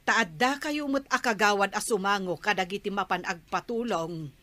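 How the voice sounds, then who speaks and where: A woman's voice: mid-range voice, normal speed, bright, forceful tone, no echo, lightly acted. A woman playing a concerned village woman who scolds and swaps gossip in a comedy radio drama.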